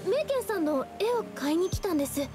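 An actress playing a polite, timid young woman artist doing detective work in an anime game, speaking softly and hesitantly. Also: very high voice, big pitch swings, quick pace. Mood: nervous, flustered. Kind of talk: Japanese anime game voice acting. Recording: music behind the voice.

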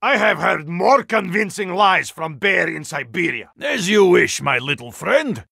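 Casting: huge courteous yeti super-agent, video game. Thick-textured gravelly voice, Russian accent, formal phrasing, very animated pitch. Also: male voice, unhurried, no echo, clean studio sound, heavily acted. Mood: earnest, dry. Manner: formal, stilted phrasing with dropped articles. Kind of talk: video game character voice lines.

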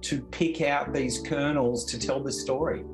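An Australian man in his forties conducts an enthusiastic interview on a video call. His voice is mid-pitched, with music clearly playing underneath. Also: fairly even intonation, a little slow, low-quality recording.

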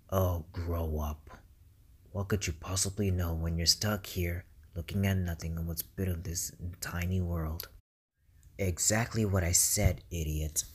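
A man voicing a sinister, taunting young villain in an amateur video game voice demo, with a husky tone. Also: low-pitched, full character voice. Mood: cold mockery. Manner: slow, taunting, menacing delivery. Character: sinister, mocking young male dark villain.

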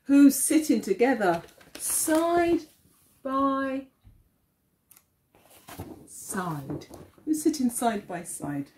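A middle-aged woman reads a picture book to little children in the manner of an early years teacher. Her tone is mellow and her pitch moves up and down a lot. She takes her time, shaping each question clearly for young listeners. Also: a little hiss or hum. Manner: expressive, deliberate, questioning delivery for small children. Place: living room at home, indoors.

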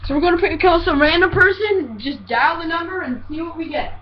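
A young woman co-hosting an amateur prank video, chatting casually in an excitable, goofy, contrary way. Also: very high voice, a little fast, muffled recording. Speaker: co-host of a prank call video. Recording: noisy amateur home recording.

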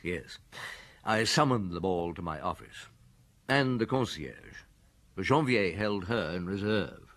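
An actor playing a seasoned detective in a radio drama, recounting a case to a friend in a frank, conversational way. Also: male voice, low-pitched, takes it slowly, heavily acted. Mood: reflective. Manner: relaxed, reflective, frank.

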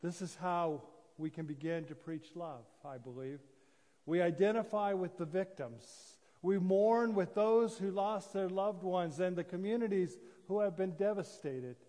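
A man in his sixties preaching a sermon as a pastor, solemn and deliberate, with pauses and repetition. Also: sits high, warm tone. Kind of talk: sermon, measured oratory. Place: church sanctuary, indoors at a lectern. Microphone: gooseneck lectern microphone. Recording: reverberant church hall sound.

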